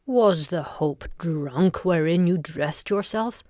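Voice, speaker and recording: Woman doing a ruthless scornful noblewoman, shakespeare audiobook, lowish voice, really slow, dry close sound. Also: dark tone, dry recording. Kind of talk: Shakespearean dramatic audiobook reading.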